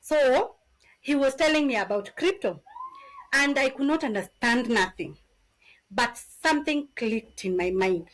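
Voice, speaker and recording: Kenyan woman in her 30s, podcast guest, highish voice, animated storyteller. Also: unhurried, rough recording. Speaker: podcast guest, business founder. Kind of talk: casual interview storytelling.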